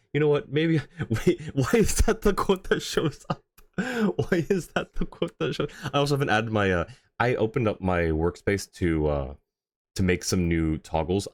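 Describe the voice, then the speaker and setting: A man's voice: fairly low pitch, animated, normal speed, dry recording. A man hosting a casual livestream, talking in an easygoing, conversational way as he answers chat. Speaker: livestream host.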